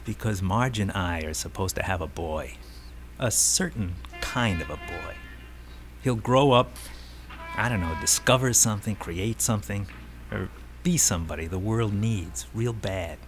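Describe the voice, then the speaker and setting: A man's voice: fairly low pitch, a little slow, music in the background, close to an everyday voice. An American actor in a radio drama playing a brash, restless army buddy, a self-assured ladies' man who speaks in emphatic, colloquial phrases. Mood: earnest, insistent. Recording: noisy old radio drama recording.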